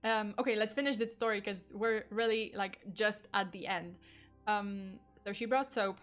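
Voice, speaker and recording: A woman's voice: medium pitch, steady tone, moderate pace, faint music behind. Polish-accented woman streaming casually. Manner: chatty, laughs often, lots of fillers and swearing. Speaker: vtuber streamer. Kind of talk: casual true crime livestream.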